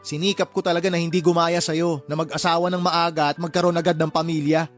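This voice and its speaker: Man acting a high-voiced, fast, resentful younger brother. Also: flat delivery, natural voice. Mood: bitter, scolding. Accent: Filipino. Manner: indignant, scolding, sarcastic. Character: resentful younger brother, hardworking, blunt and sarcastic. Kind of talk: Filipino radio drama acting. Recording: music under the voice.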